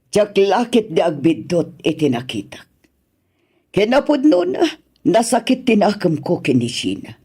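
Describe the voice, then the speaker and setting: A man's voice: a little high, darkish tone, husky, exaggerated voice. A man with an Ilocano accent playing an aggrieved older parent, earnestly pleading for a wronged son in a radio drama.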